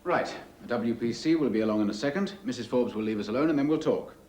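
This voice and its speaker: A man's voice: mid-range voice, fast talker, background noise, natural voice. An actor playing a curt male police officer who questions a woman bluntly. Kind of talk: 1980s TV drama acting.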